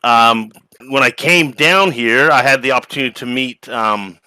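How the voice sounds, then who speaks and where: A man's voice: medium pitch, normal speed, pushed and bright, clean tone, no room sound, low-quality recording. A man from New Zealand with an American accent, a business strategist chatting enthusiastically on a video call panel. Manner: enthusiastic, rambling. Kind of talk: casual panel chat.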